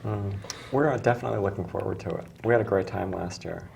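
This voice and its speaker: A woman hosting a talk show, hoarse from allergies, chatting casually and often restarting her sentences. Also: rumbling low, fast talker, a crowd in the background. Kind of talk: casual studio chat.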